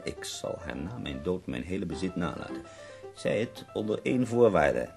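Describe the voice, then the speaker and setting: Very deep, gravelly sardonic dying poet. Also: male voice, big pitch swings, moderate pace, music in the background, full character voice. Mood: teasing. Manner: theatrical, wry, complaining. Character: dying bedridden poet, sardonic, self-pitying, witty. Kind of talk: radio play acting.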